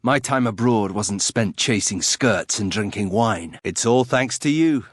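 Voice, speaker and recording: A man's voice: on the low side, animated, a little slow, slightly rough, no echo. An actor playing a bitter, proud young nobleman turned warrior in a fantasy game, with a dry, sardonic, clipped delivery. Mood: sardonic, defiant. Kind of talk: fantasy video game voice acting.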